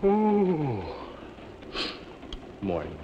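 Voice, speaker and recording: A cheerful young man trades easy jokes in a bright, lively voice. The hiss of an old 1950s film soundtrack runs under him. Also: on the high side, very slow, narrow, tinny audio, full character voice. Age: young adult. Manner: cheerful, joking. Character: cheerful, joking young man on a sleeper train. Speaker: train passenger. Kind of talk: 1950s television drama acting.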